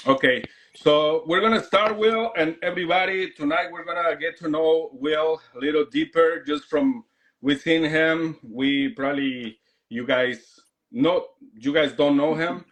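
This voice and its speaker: A man hosts a live online interview, halting a little and repeating words as he talks to his viewers and his guest. His questions come in short, loose bursts. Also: a little high, fairly bright tone. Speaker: host. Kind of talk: live stream interview hosting.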